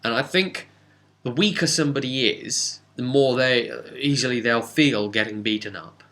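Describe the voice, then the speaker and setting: A man speaking as a game master, giving a casual, rambling recap of a role-playing session with dramatic pauses and asides. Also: mid-range voice, sharp tone. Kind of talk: casual spoken recap and storytelling.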